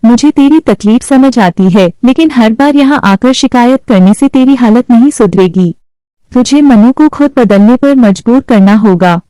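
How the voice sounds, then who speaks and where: A woman's voice: a little high, a little slow, mellow, no room sound, natural voice, poor audio. A woman voicing a blunt, impatient sister-in-law who scolds in a cartoon. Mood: stern.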